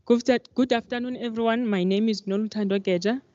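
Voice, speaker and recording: South African woman community facilitator, flat mellow voice, brief and plain. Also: a little high, fairly quick, dry recording. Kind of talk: panel self-introduction. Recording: dull lo-fi sound.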